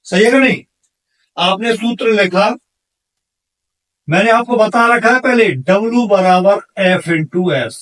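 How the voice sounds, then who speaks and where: A man's voice: high-pitched, slow, long pauses, a bit bright, recorded from a distance, low-quality recording. A man teaching exam problems step by step, asking questions and answering them himself in an instructional manner. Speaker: physics teacher for exam candidates.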